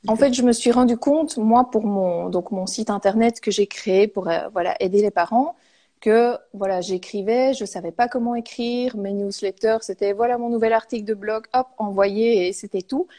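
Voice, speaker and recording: A woman's voice: medium pitch, normal speed, mellow. A woman in her thirties from Belgium, answering interview questions hesitantly with many fillers. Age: 30s. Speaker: guest, copywriter.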